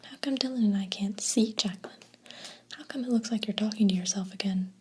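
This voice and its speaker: A woman voicing a teasing, cocky boy in a breathy whisper. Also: mid-range voice, fairly quick. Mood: mocking. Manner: whispered, mocking.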